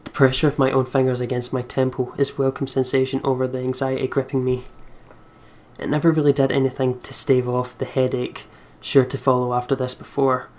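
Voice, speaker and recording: A man hosting a let's play, reading visual novel narration aloud in an expressive storytelling way. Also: medium pitch, brisk.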